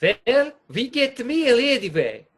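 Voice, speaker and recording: A high-voiced, nervous crooked doctor in a radio comedy, played by a woman. The delivery is slow, hesitant and placating, in broken English, like a tipsy henchman soothing a boss. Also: very animated, background noise. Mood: weary. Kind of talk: stage comedy radio acting.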